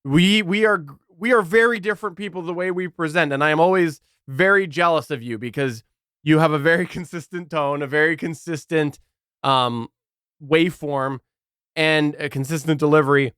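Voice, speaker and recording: A man co-hosting a casual podcast chat, with a loud, uneven delivery that jumps from near-mumbling to sudden bursts. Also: on the high side, normal speed, clear, resonant tone, no room sound, clean studio sound. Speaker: podcast co-host.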